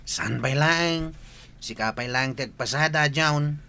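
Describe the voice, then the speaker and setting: A man's voice: medium pitch, a little slow, vocal fry, pushed and bright, clean tone. A man with a Filipino accent playing a practical, matter-of-fact village chief in an audio drama. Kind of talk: radio drama acting.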